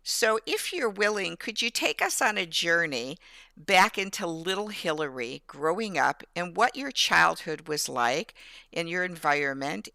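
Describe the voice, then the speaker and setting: A woman hosting an interview, asking her guest questions in a slow, encouraging way. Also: fairly low pitch, vocal fry, pushed and bright, dry recording. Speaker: host.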